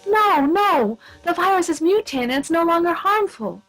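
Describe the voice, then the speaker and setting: A woman's voice: very high voice, animated, normal speed, a bit bright, heavily acted, low-quality recording. A woman playing an anxious, earnest sidekick to a bumbling hero in a comic radio play. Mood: urgent, earnest.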